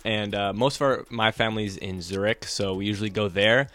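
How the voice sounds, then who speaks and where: A man's voice: on the low side, steady tone, brisk, fairly bright tone, no echo, soft background music. A young man from the United States, a guest on a podcast, talking in an easygoing way with lots of fillers. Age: young adult. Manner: easygoing, filler-heavy talk. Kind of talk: casual interview.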